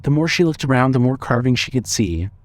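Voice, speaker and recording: Measured male horror story narrator. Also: medium pitch, animated, dry recording. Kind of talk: scripted horror audio drama narration.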